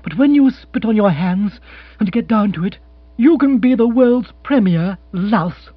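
A man's voice: high-pitched, moderate pace, dry recording, pitched above the performer's own voice. A man voicing a spirited, outspoken young Englishwoman in a comic audiobook, scolding emphatically with indignation. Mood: cold, indignant. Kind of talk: comic audiobook character acting. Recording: muffled recording with hum.

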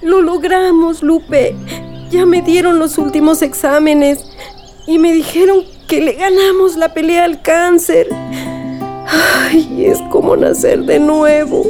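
A woman's voice: extremely high pitch, fairly even intonation, slow pace, darkish tone, faint music behind. A woman playing a loving, emotional mother in a radio drama, speaking tenderly. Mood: tearful joy.

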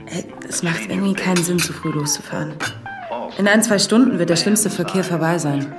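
An actress playing a practical, caring but controlling wife in naturalistic radio drama, matter-of-fact in manner. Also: female voice, fairly low pitch, brisk, over music, natural voice.

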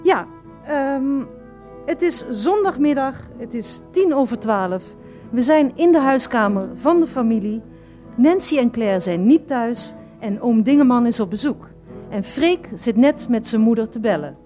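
A woman's voice: on the high side, lively intonation, a little slow, dark tone, soft background music. A woman presenting and narrating a radio play from a script.

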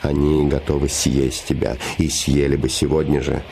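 A man's voice: a bass voice, level tone, moderate pace, gravelly, warm tone, a little hiss or hum. An actor playing a man's scheming living shadow that poses as a devoted friend, insinuating, solemn and emphatic, in a theatrical fairy-tale play. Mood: confiding. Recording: music behind the voice.

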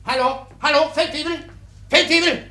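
An actor playing an irascible, blustering army doctor who barks with contempt and hems and haws, in a German radio play. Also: male voice, very high voice, fairly even intonation, normal speed, sharp tone. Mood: furious. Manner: barking, contemptuous, hemming and hawing.

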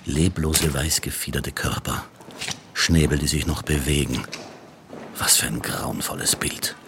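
An actor playing a gruff, cynical, hard-drinking Austrian police detective in a radio crime drama, sardonic and coarse, muttering asides. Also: male voice, rumbling low, unhurried, background noise, exaggerated voice. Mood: disgusted muttering. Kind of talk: German-language radio play dialogue and inner monologue.

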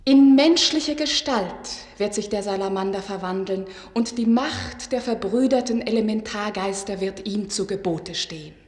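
An actress playing a tender young snake-maiden spirit in a radio fairy tale, solemn, loving and incantatory, with a dreamlike reverb effect. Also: female voice, mid-range voice, normal speed, few pauses, darkish tone. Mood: solemn, storytelling. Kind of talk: German radio play acting, fairy-tale storytelling. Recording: long reverberant echo, music behind.

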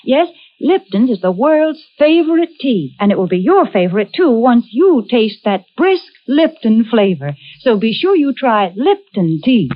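A woman's voice: fairly high pitch, sing-song, unhurried, hardly any pauses, no room sound. Lilting, cheery 1940s radio spokeswoman. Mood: cheery selling. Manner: bright-spirited, emphatic sales patter. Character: cheerful wholesome female sponsor spokeswoman, playfully prim. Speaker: commercial announcer. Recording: muffled vintage 1940s radio broadcast.

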